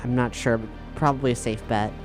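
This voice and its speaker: A man's voice: medium pitch, steady tone, fairly quick. Hesitant man thinking aloud, faint music. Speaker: tabletop game player. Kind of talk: casual in-game discussion.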